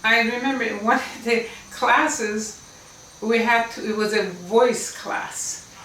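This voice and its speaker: Older lady, farsi native, bright voice, talking from a bit away from the mic in an echoey room. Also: fairly high pitch, slow, slight background noise. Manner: earnest, emphatic, with little laughs. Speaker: Islamic lecturer. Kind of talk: personal storytelling talk. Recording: roomy, distant mic with faint ambience.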